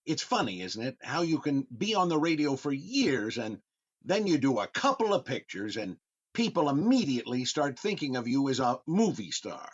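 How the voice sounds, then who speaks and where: A man's voice: mid-range voice, sing-song, moderate pace. A man playing a genial, mildly vain old-time radio comedian delivering a conversational monologue. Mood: genial, musing. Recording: dull, band-limited microphone.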